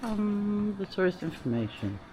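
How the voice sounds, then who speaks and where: A woman's voice: mid-range voice, very animated, a little slow, noisy background. A British woman giving casual travel vlog commentary in a wry, understated way. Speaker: travel vlogger.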